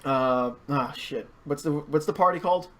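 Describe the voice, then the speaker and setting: Higher-pitched man in casual, stammering chat. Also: moderate pace. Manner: animated retelling with stammered false starts and repeated words. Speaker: podcast guest, hobby artist and fandubber.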